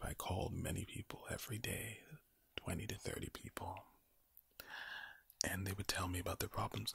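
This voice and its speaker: A man giving a soothing ASMR pep talk in a low, gravelly whisper, close to the microphone, with deliberate, repetitive phrasing and a villain-like edge. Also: bright, forceful tone, dry recording. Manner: slow deliberate phrasing with repetition and rhetorical questions; villain-like, ominous sound. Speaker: ASMR video creator.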